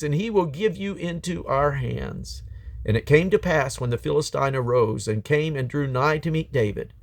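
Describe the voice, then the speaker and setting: A man reading scripture aloud as a Bible reader, in a solemn, emphatic storytelling manner. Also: fairly high pitch.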